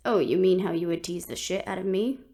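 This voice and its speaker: A woman playing a composed, protective fantasy adventurer in an audio roleplay, easygoing, teasing and soft-spoken, with a creaky voice. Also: lowish voice, fairly even intonation, brisk, no room sound, natural voice. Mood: teasing, fond.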